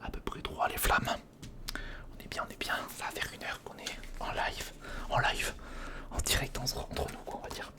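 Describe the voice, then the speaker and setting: A man whispering informal ASMR commentary close to the microphone, murmuring step by step to himself and the viewer as he works. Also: normal speed. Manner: murmured, informal running self-talk addressed to the viewer. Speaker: ASMR video creator. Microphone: close recorder microphone.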